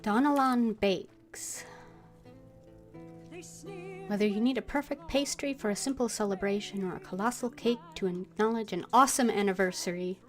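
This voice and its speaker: A woman's voice: medium pitch, moderate pace. A woman streaming a video game, reading game text aloud and voicing her thoughts as she plays. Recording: game music behind the voice. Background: video game music.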